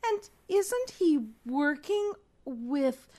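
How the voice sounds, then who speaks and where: A woman's voice: high-pitched, sing-song, very slow, a little mellow, heavily acted. A woman playing a spy's flustered female sidekick in a spoof play, with pleading melodrama. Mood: attentive. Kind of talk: spoof spy radio play.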